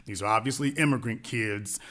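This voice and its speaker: A man's voice: on the low side, a little fast, sharp tone. A man hosting a live call-in radio show, talking in a blunt, emphatic, slangy way.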